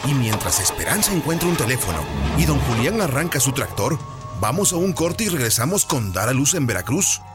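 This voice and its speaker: A man announcing a radio drama in a clear, formal way. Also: mid-range voice, sing-song, fairly quick. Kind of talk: scripted announcing. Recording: announcer voice over music.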